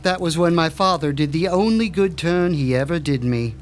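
A man's voice: fairly high pitch, slight background noise, close to an everyday voice. A man playing a grandiose mad doctor. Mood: brooding. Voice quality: measured, grandiose and theatrical. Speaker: doctor character. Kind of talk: audio drama acting.

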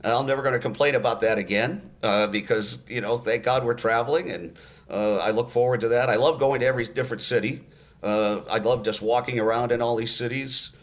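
A man in his sixties from Massachusetts, a radio announcer telling stories in a podcast interview with many "uh" pauses. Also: medium pitch. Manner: relaxed storyteller, many "uh" pauses.

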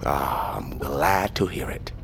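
A man's voice: a bass voice, slow, fairly bright tone, a little hiss or hum, full character voice. A man playing a wary tradesman turned conspirator in a fantasy audio drama, speaking in dry, courtly, archaic phrases. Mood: guarded.

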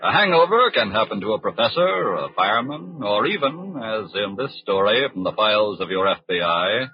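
Grave, animated 1940s male crime narrator. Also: fairly low pitch. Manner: grave, portentous, deliberate emphasis. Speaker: narrator of a radio crime drama. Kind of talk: 1940s radio dramatic narration. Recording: dull vintage 1940s radio broadcast.

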